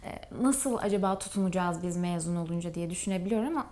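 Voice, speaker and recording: A woman's voice: lowish voice, fast talker, dark tone. A woman hosting a broadcast interview in a conversational, deferential and agreeable way. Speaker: programme host, interviewer.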